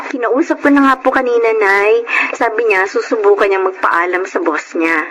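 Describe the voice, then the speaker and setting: A woman's voice: mid-range voice, normal speed, noisy background, close to an everyday voice. A woman playing an earnest, worried young wife and mother, respectful and plaintive, in a Filipino radio drama. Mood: earnest, wistful.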